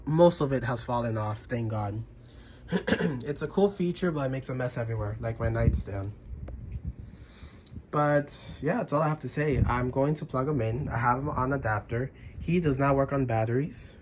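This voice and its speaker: A slightly hoarse man, half sick, casually reviewing a prop in a bedroom with frequent throat clearing and restarts. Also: mid-range voice, a little hiss or hum.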